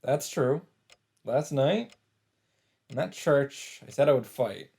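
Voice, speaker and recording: A man's voice: medium pitch, animated, slow pace, creaky, warm tone. A man reading visual novel text aloud as casual narration, dropping in his own asides.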